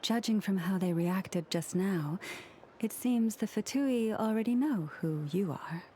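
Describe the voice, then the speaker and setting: Slow, serene priestess, played by a woman. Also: mid-range voice, slight background noise, natural voice. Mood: serene, gracious. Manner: formal, measured, gentle. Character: serene, gracious, dignified young priestess leader. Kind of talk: video game character acting.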